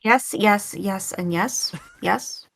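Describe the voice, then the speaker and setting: Mid-pitched woman in casual chat. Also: takes it slowly, vocal fry. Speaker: panelist.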